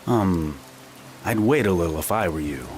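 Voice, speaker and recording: An actor playing a polite, self-assured military strategist who talks with hesitant fillers and wry courtesy. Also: male voice, low-pitched, lively intonation, moderate pace. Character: eccentric polite male strategist, confident. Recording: game audio with loud music.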